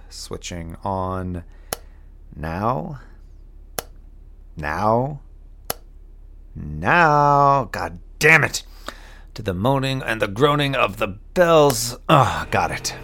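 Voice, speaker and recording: A man's voice: fairly low pitch, sing-song, takes it slowly, pauses often, pushed and bright, full character voice. A man playing a weary, bookish moonbase crewman who mutters wryly to himself in an audio drama log. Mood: tense, focused. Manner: muttering to himself, wry and brooding. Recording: background noise under the voice.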